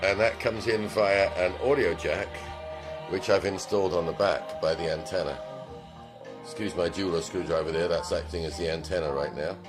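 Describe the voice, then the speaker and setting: Low-voiced man demonstrating a radio. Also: steady tone, moderate pace. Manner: meandering, frequent ums and throat clearing. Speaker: vintage car radio repair technician. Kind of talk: casual hands-on product demonstration. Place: small repair shop. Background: car radio playing music.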